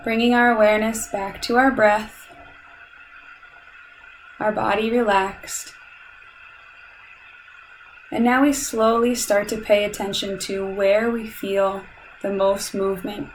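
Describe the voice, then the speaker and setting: A woman guides a meditation at a very slow pace, with a medium-pitched voice. Her delivery is calm and soothing, giving measured instructions with long pauses. A light layer of background noise sits behind her. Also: poor audio.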